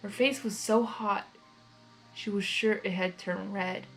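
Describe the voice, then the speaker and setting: A woman reading fan fiction aloud as narrator, expressive and excitable, with casual reactions. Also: mid-range voice, unhurried, soft background music, poor audio.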